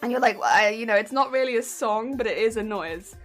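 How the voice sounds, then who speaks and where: A fairly high-voiced woman with a light, warbly voice that sounds a touch blocked up, as if from hay fever. She chats away in a relaxed, giggly stream-style ramble, greeting viewers. Soft music plays faintly under her. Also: fairly quick. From United Kingdom. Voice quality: weak, warbly voice. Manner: chatty, giggly, greets chat cheerfully. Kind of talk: casual chat while crafting. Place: home craft room.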